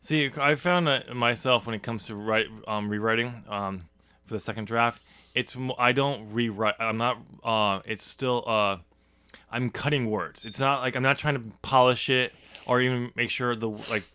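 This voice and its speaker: A man's voice: mid-range voice, fairly even intonation, pushed and bright, smooth, dry recording. A man chatting casually as a podcast host and writer, rambling and often stammering as he corrects himself. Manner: halting, rambling, self-correcting with stammered restarts. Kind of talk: casual unscripted discussion.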